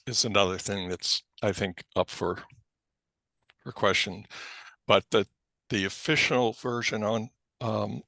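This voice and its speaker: A man speaks slowly over a video call, his lowish voice crisp and bright. He explains things to a committee in a halting way, with many ums and apologies. The sound is dry, with no room around it. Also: no echo. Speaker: cataloging librarian presenting to a committee. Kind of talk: online meeting presentation. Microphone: computer mic on a video call.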